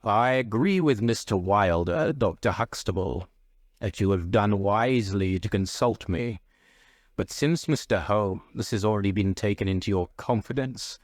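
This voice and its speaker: A man's voice: on the low side, no room sound, clean studio sound. A man voicing a stately, imperious duke in a classic story, with a grave, sonorous manner. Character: stately, stony, imperious aristocratic male duke.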